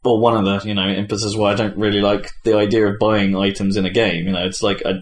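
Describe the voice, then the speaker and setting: A man with a fairly low voice talks casually and gives his opinions freely. He often stops and restarts his phrases. The room adds a clear echo around him. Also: level tone, fast talker. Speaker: games podcast panelist; game developer. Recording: echoey room.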